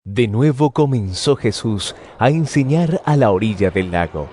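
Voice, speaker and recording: A man's voice: medium pitch, animated, unhurried, a little mellow. A man reading scripted narration for a dramatized audio Bible. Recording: noisy outdoor ambience effects behind. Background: outdoor sound effects.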